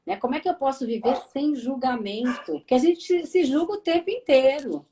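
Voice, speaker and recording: A woman with a southern Brazilian gaúcho accent, a therapist hosting a live talk, lively and speaking straight to her viewers with frequent tag questions. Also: high voice, normal speed. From Rio Grande do Sul, Brazil.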